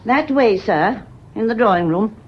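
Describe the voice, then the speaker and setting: A woman's voice: medium pitch, lively intonation, measured pace, husky. An actress playing a devoted, prying woman servant, deferential yet pointed, in a period radio mystery. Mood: guarded, polite. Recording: dull, muffled old radio recording.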